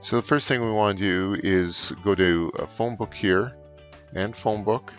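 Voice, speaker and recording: A middle-aged man explains software step by step as an unscripted tutorial, with frequent hesitant pauses. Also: fairly low pitch, faint music behind. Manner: halting, frequent filled pauses. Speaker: trainer, tutorial presenter. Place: office room indoors.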